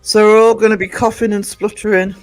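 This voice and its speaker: A man, croaky from just waking up, rambling chattily to his live-stream viewers from home and greeting them by name. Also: high voice, flat delivery, a little fast, faint music behind. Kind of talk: casual live-stream chat. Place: indoors at home. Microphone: desk microphone.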